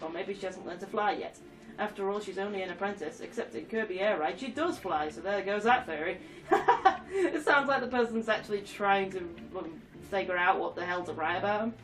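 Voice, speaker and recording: A woman's voice: mid-range voice, distant mic, rough recording. A woman with a cold and a stuffy nose, chatting casually and giggling as she reads game trophy descriptions aloud. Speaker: gaming YouTuber.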